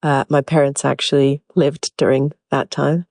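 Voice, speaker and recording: Hungarian woman actor talking through her part, steady explaining tone, dry close sound. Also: extremely low pitch, moderate pace, no echo. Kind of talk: interview answers.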